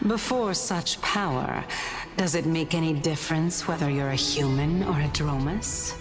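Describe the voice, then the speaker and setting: A woman's voice: sits low, measured pace. An actress playing an aloof, imperious, otherworldly woman in a video game, stately, amused and coolly condescending. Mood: cool, taunting. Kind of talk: dramatic video game voice acting. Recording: long reverberant hall, music behind.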